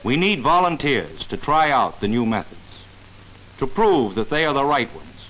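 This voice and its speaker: A man narrating a mid-century educational film in an earnest, dramatic way with deliberate emphasis. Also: medium pitch, sing-song, a bit bright, low-quality recording. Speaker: documentary narrator.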